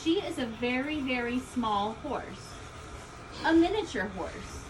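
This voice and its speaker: A woman reading a children's story aloud, expressively, with deliberate emphasis on key words. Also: sits high, animated. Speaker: storybook reader. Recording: distant mic, loud background ambient noise.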